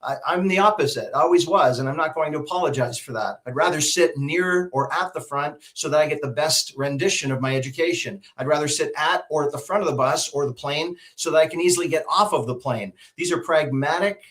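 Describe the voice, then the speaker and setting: A middle-aged man from Alberta with a Canadian accent, giving advice as an interview guest in an emphatic, polished, deliberate way. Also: on the high side, a bit bright. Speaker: guest, public speaker and emcee. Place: video call.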